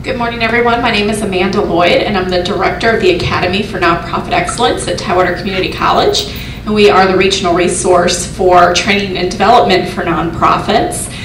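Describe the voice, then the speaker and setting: A woman's voice: medium pitch, steady tone, fast. A woman who directs a college training academy, introducing herself in an upbeat, formal way. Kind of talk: self-introduction to a group. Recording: echoey room, distant mic, noise.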